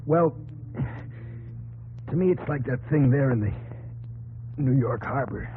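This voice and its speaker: Young soldier, thin old radio sound. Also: male voice, mid-range voice, expressive, measured pace, husky. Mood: earnest. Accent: American. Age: young adult. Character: earnest young American soldier serving in Korea. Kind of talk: 1950s radio acting. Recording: narrow, humming old 1950s radio recording.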